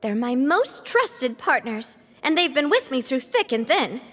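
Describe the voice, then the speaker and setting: A woman voicing an earnest young woman who leads a close-knit band, speaking in a gentle, hesitant way. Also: sits high, big pitch swings, unhurried, heavily acted. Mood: explaining. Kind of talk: video game voice acting. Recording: game audio with reverb and music.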